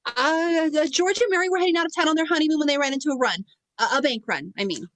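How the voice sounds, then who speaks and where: A woman's voice: high-pitched, lively intonation, fast talker, fairly bright tone. A woman playing an eager, naive apprentice angel in a radio play. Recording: faintly noisy video call.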